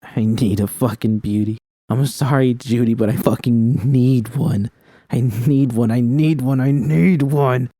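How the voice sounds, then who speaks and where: A man's voice: medium pitch, steady tone, measured pace, warm tone, no echo, studio quality, voice pushed up, natural voice. A man voicing a panicked young female mercenary who stammers, swears and pleads through sobs in an audiobook story. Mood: desperate, pleading. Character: young street mercenary woman, sobbing, panicked, desperate, foul-mouthed.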